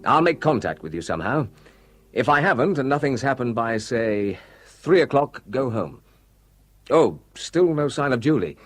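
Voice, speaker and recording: A husky young sleuth. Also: male voice, mid-range voice, big pitch swings, normal speed. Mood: hushed. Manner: curious, quietly alert. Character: young male officer on leave, amateur sleuth. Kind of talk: radio drama acting.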